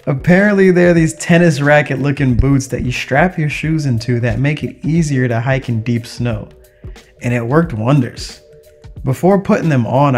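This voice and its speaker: A young man narrates his travels in a casual, upbeat voice of medium pitch. He talks conversationally, like a vlogger sharing a trip, with music playing behind him. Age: young adult.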